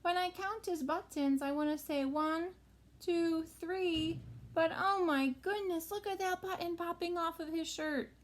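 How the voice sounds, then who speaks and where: A woman's voice: extremely high pitch, measured pace. A woman reading a picture book aloud to young children as a teacher, with sing-song, exaggerated expression.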